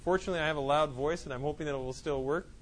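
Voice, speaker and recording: A male instructor with a slightly high voice lectures his class. He explains in loose, looping sentences, often restarting mid-thought. His talk is picked up in a classroom with faint noise behind it. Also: rapid-fire. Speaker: college instructor. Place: classroom with students. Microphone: clip-on mic that keeps falling off.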